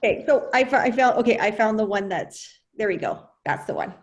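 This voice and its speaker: A woman hosting an online panel on a video call, friendly and brisk as she introduces presenters. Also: fairly high pitch. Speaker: event host.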